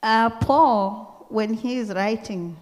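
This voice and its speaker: A middle-aged woman with a Kenyan accent, preaching a church sermon in a slow, deliberate, earnest way. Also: a little high, a little mellow. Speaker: guest preacher. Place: large church hall. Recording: long church-hall reverb.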